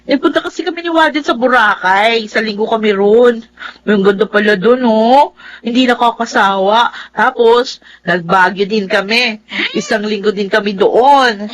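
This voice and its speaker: High-voiced selfish young Filipino mistress, woman acting. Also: moderate pace, dry recording, lightly acted, poor audio. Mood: breezy, dismissive. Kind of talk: Filipino radio drama acting.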